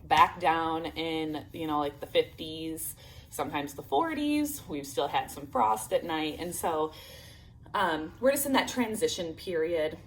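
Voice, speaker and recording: A woman's voice: low voice, expressive, a little slow, slight background noise, rough recording. A woman in her thirties chatting to camera as a homeschool vlogger, upbeat and full of fillers, speaking through a sore throat. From Illinois, United States. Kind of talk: casual chat to camera.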